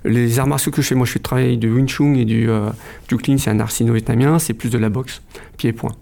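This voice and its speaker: A man's voice: mid-range voice, steady tone, fast, creaky, mellow. A middle-aged man from France, a kung fu club president, speaking hesitantly in a casual radio interview, with many fillers and restarts.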